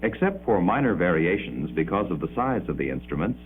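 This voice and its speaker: A man delivering formal, measured 1950s educational film narration with precise enunciation, on an old muffled soundtrack. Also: deep voice, sing-song, a little hiss or hum.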